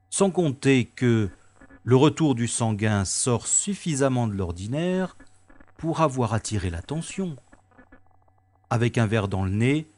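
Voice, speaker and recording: An actor playing a wry, world-weary special agent in a science-fiction audio drama, with deliberate, slightly pompous phrasing. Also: male voice, mid-range voice, close to an everyday voice.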